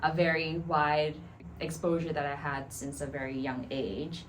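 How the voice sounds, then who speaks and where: A woman in her thirties from Thailand, answering interview questions in a measured, thoughtful way with many pauses. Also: sits low, little pitch movement, a little hiss or hum. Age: 30s. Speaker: guest.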